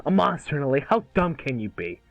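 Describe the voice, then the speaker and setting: A performer voicing a cocky, excitable ten-year-old boy hero with a boastful delivery in an amateur cartoon read. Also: medium pitch, a little fast, creaky, dry recording, highs cut off, soft background music. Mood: smug, mocking. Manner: boastful, exclamatory.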